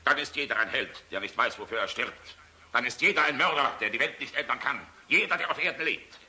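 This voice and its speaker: An actor playing a weary, tormented general in a radio play, moving between clipped military orders and anguished, bitter rhetoric. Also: male voice, medium pitch, lively intonation, brisk, pushed and bright. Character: male air force general, weary, tormented, bitterly defiant. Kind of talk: dramatic radio play acting.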